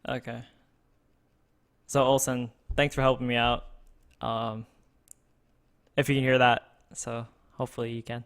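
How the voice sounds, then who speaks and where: A man's voice: medium pitch, little pitch movement, a little slow, pauses often, a bit bright, clear, resonant tone. A man streaming a card game for the first time, thinking aloud with many fillers and restarts, close to his desk microphone. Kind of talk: casual gameplay streaming.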